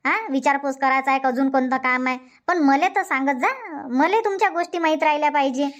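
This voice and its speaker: A woman playing a high-pitched, nagging village housewife. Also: a little fast, natural voice. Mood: aggrieved. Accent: Varhadi Marathi (Vidarbha). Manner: scolding, repetitive complaining. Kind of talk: Marathi comedy cartoon dubbing.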